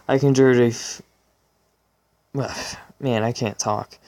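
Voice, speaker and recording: A man's voice: medium pitch, fairly even intonation, takes it slowly, mellow. A man hosting a casual comedic fan fiction reading, informal and amused, stumbling over his words as he goes. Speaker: channel host.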